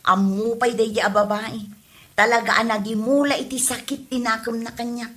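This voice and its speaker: A woman's voice: a little high, fairly even intonation, moderate pace, fairly bright tone, slight background noise, close to an everyday voice. A woman with an Ilocano accent playing a sassy, gossiping village friend in a comedy audio drama, indignant and teasing.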